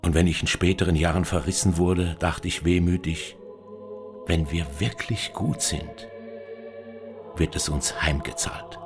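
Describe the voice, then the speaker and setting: Reflective writer looking back, deep voice, dry and measured, radio play with music under it. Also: male voice, fairly even intonation. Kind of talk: literary radio narration with music.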